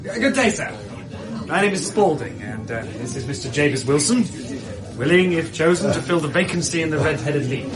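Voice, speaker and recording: A male actor plays an eager, flattering assistant in a radio drama. His voice is on the high side and very animated, with florid, deferential phrasing. There is murmur behind him. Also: moderate pace, crowd noise, natural voice.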